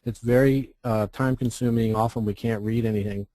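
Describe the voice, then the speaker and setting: Mellow American man giving an informal presentation. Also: medium pitch, steady tone, normal speed, dry recording. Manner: explanatory, poses questions then answers them. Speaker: presenter, contest representative.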